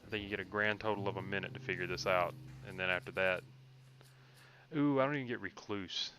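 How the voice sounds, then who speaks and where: A man's voice: deep voice, brisk, pushed and bright, soft background music. A man giving casual gameplay commentary as a streamer, thinking aloud in an informal, slangy way. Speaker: game streamer.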